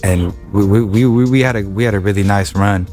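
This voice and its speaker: A young man with a very low voice talks casually as a podcast guest, stumbling over repeated words and restarting his sentences. Faint music sits behind him. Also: a little fast, a little mellow. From Wilmington, California. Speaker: guest rapper. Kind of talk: casual interview chat.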